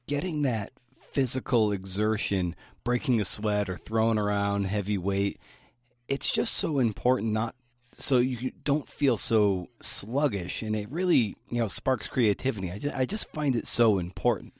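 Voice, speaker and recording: A man's voice: lowish voice, moderate pace, no room sound, studio quality. A man hosting a podcast, asking questions and agreeing earnestly. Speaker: podcast host. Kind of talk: interview questions.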